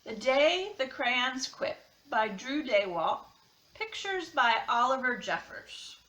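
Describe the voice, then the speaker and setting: A woman storyteller and teacher addressing children with simple, deliberate delivery, introducing and reading a picture book. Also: high voice, sing-song, fairly bright tone, recorded from a distance. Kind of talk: children's read-aloud and lesson introduction. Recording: roomy, echoing sound.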